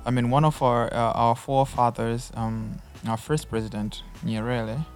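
A man hosting a casual conversation, listening closely with frequent murmurs and asking curious questions. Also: medium pitch. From Tanzania. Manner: attentive, fills pauses with steady "mm" and asks curious questions.

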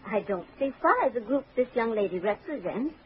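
A woman's voice: fairly high pitch, big pitch swings, normal speed, slightly rough, full character voice. A woman playing a crafty swindler with a polite, hesitant, fluttery manner in a 1940s radio drama. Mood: feigned naive hesitance. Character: crafty female swindler posing as hesitant naive lady. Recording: muffled, noisy 1940s radio recording.

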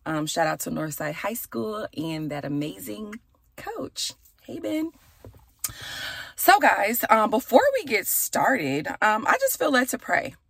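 A woman in her thirties hosting a podcast from her car, chatty and raspy, saying a prayer for her listeners. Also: medium pitch, very animated, slow pace, no echo. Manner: chatty and warm, with "y'all" and "okay". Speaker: podcast host. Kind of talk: casual chat with prayer.

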